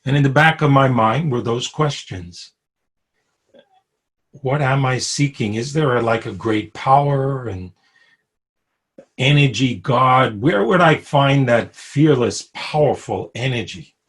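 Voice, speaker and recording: Unhurried husky man with a New York accent. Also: medium pitch, a bit bright. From Brooklyn, New York. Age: 60s. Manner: unhurried, chuckles often, many pauses and fillers. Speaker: interviewee. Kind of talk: reflective personal storytelling. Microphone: video call.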